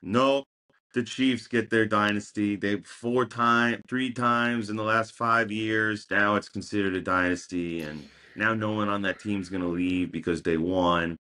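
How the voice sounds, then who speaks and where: Bright hype-man sports podcast co-host. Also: lowish voice, fairly even intonation, measured pace. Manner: hype-man delivery, punchy catchphrases. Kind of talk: casual sports podcast chat.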